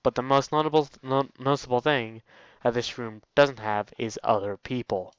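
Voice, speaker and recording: A man's voice: medium pitch, normal speed, smooth, no echo, dull audio. A man reading a story game aloud in a casual let's play style, stumbling over words and breaking off into asides.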